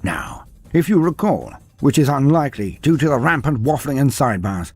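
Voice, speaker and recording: A man's voice: mid-range voice, very animated, moderate pace, darkish tone, husky. A man narrating a humorous story in a dry, wry manner, breaking off into playful sidebars. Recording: faint music behind narration.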